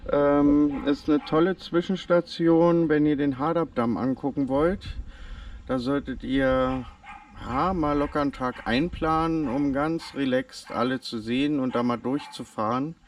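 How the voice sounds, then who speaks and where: A relaxed man talks his viewers through a road trip stop in an unhurried, chatty way. He sits at a distance from the microphone, with faint outdoor noise underneath. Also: medium pitch. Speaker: travel vlogger. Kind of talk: casual travel vlog narration.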